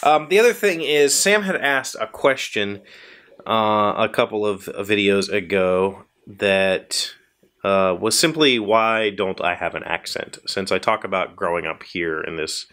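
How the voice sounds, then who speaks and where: Low male voice, American accent, forties, casual video host, rambling, self-deprecating. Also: a little slow, fairly bright tone. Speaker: host of a daily video show. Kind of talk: casual chat to viewers. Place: small indoor workshop crowded with tools.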